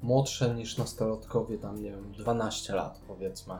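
A man's voice: fairly low pitch, fairly even intonation, normal speed, darkish tone, faint music behind. A man chatting casually about board games as a podcast co-host. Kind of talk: casual board game discussion.

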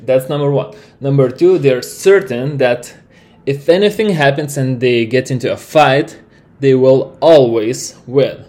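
A man with a Lithuanian accent talks through a solo podcast in English, his second language. He rambles loosely and often stops to restart a thought. His voice sits at an ordinary middle pitch. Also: a little mellow. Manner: rambling, many fillers and restarts. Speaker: podcast host.

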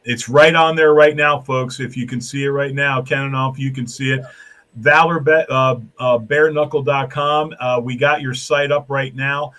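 A man hosts a talk show at a mid pitch, effusive and folksy. His talk is loose and rambling, full of warm thanks and plugs. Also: fairly even intonation, normal speed. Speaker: show host. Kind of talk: casual talk show hosting.

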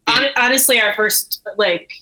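Bright-voiced woman in casual conversation. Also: a little high, measured pace, rough recording. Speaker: guest, brewery co-owner.

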